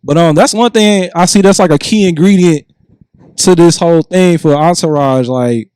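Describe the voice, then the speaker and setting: A man hosting a podcast, asking guests questions in a relaxed, casual way. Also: on the high side, normal speed, clean tone, dry recording. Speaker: podcast host.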